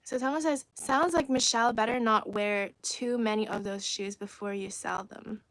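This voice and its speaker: A woman from China whose first language is Cantonese, chatting casually on a livestream into a phone, reading viewer comments aloud and often dropping to soft half-whispered asides. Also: fairly high pitch, fairly even intonation, moderate pace, dry recording, poor audio. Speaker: livestream host.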